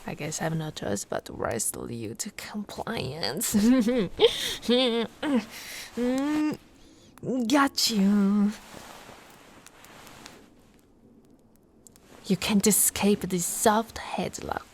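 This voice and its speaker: A woman playing a big, tough but tender orc wife in an intimate, soft ASMR roleplay. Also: medium pitch, sing-song, a little hiss or hum, full character voice. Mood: playful, teasing. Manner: soft, slow, intimate, often breathy with soft chuckles.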